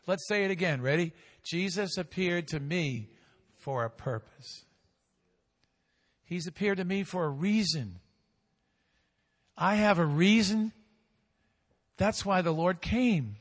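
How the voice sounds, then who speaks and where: A male pastor preaching a sermon with call-and-response, swinging between loud and hushed, drawing out key phrases slowly. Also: high-pitched, long reverb tail.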